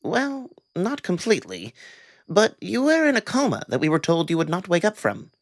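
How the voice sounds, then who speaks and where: An anxious alien cat man from a visual novel, acted out by a man. His intonation swings wildly as he pries and dodges questions. The voice is fairly high for a male and recorded very dry. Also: wildly swooping pitch, normal speed, dry recording, heavily acted. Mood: stunned.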